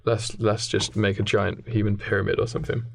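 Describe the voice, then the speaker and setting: A man, improvising casually as a podcast co-host in an understated, halting way. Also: fairly low pitch, little pitch movement, creaky, slight background noise. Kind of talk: casual improvised comedy chat.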